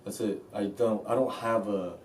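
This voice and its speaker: A man's voice: on the low side, steady tone, normal speed, darkish tone, a little hiss or hum. A man, talking casually in an interview, loose and rambling with many fillers. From South Korea. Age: 20s. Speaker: street artist interviewee. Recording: roomy, distant mic, faint ambience.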